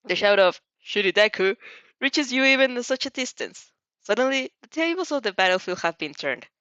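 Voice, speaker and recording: A woman's voice: a little high, very animated, brisk, fairly bright tone, no echo, highs cut off. A woman reading a story aloud expressively as an amateur, often stumbling and rereading phrases. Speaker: fanfiction audiobook reader.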